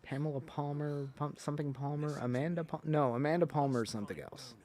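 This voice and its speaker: A man's voice: fairly high pitch, quick pace, slight background noise. A man hosting a livestream, chatting casually in a rambling, joking way and sometimes trailing off into muttered asides. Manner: animated, joking, often breaking into chuckles. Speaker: livestream host. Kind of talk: casual rambling chat to stream viewers.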